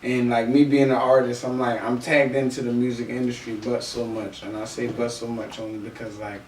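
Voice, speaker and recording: A man's voice: medium pitch, flat delivery, fairly quick, darkish tone. A man talking casually on a podcast, slangy, often checking that the listener follows him. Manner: slangy, repeats "you know what I mean". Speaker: podcast co-host and guest, music artist. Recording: roomy, distant mic, background noise.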